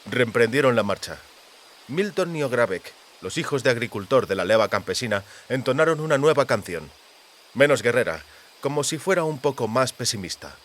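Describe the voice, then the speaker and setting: Male audiobook narrator, medium pitch. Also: animated, normal speed, fairly bright tone, slight background noise. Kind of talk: scripted literary narration.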